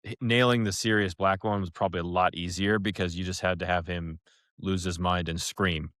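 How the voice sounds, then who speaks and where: A man's voice: low voice, steady tone, fairly quick, a bit bright, no room sound, studio quality. A man co-hosting a podcast in a casual, slangy style, with emphatic, exaggerated turns of phrase. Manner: informal, slangy, emphatic hyperbole. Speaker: podcast co-host.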